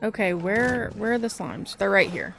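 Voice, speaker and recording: A woman's voice: medium pitch, lively intonation, moderate pace, vocal fry. A woman giving casual gameplay commentary, chatting and muttering to herself as she plays. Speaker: gaming video host. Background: game music.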